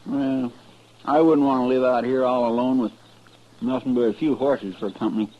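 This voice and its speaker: An actor playing a young frontier sidekick with a rural American accent, folksy, grumbling and deferential, in a radio western. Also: male voice, mid-range voice, fairly even intonation, normal speed, lightly acted. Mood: plaintive, chatty. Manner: folksy, plaintive drawl, deferential. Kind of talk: 1950s radio western acting. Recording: dull, faintly noisy old radio recording.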